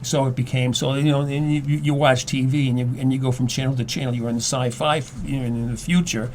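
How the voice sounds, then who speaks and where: Mellow, halting man in casual discussion. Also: medium pitch, steady tone, a little hiss or hum. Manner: halting, repeats words while explaining. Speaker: guest, former English teacher.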